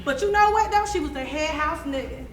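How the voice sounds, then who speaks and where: A woman's voice: high voice, lively intonation, fairly quick. A woman playing a sassy, reluctant schoolgirl, with casual slangy delivery in a stage play. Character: sassy, reluctant teenage schoolgirl giving a class presentation. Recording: echoey stage play, faint noise.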